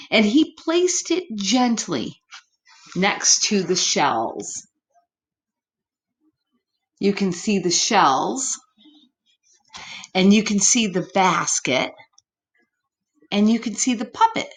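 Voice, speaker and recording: A woman reading a picture book aloud to children expressively, speaking directly to her young listeners. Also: medium pitch. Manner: pauses to address young listeners. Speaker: storytime reader.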